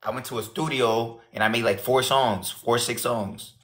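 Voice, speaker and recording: A hoarse nineteen-year-old man from the Bronx, chatting and teasing on a phone livestream. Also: medium pitch, fairly even intonation, normal speed, echoey room, noisy background. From the Bronx, New York. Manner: slangy, laughing, teasing. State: hoarse, losing his voice. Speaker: livestream guest. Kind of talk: casual livestream banter. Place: indoor room. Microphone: phone at arm's length.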